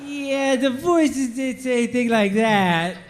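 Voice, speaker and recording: A man voicing a dim, childlike, earnest soldier in an animated comedy table read, naive and a little halting. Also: extremely high pitch, background noise.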